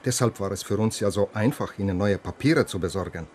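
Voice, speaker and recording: Guy playing proud estonian cop in radio drama, lowish voice, formal n a bit superior, slight noise behind. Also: a little fast, darkish tone, lightly acted. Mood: cool, proud. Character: proud, matter-of-fact Estonian policeman questioning a visitor. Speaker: actor in a radio play. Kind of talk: German radio crime drama dialogue.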